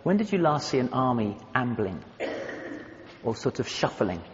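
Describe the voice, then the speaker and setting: A man preaching a sermon to his church, conversational and thoughtful, pausing to search for words. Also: mid-range voice, very animated. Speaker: pastor. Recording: echoey hall, dull lo-fi sound.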